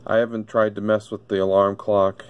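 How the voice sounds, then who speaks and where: A man casually demonstrating a product unscripted, thinking aloud in a halting way. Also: fairly low pitch, little pitch movement, clear, resonant tone, dull audio. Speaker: gadget reviewer. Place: indoor electronics workbench.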